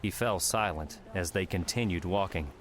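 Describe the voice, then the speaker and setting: Very deep male audiobook narrator. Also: normal speed, a bit bright, a little hiss or hum. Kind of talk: scripted fiction narration.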